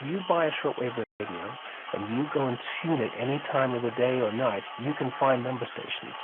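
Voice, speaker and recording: A man's voice: mid-range voice, fairly quick, phone-quality sound, very noisy. A man speaking enthusiastically and descriptively in an interview. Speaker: interviewee researcher. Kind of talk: interview commentary.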